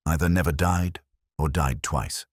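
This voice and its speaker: A man voicing a keen, coolly commanding Victorian detective in an audiobook, speaking precisely and deliberately. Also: a bass voice, no room sound, clean studio sound. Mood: musing. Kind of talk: audiobook character dialogue.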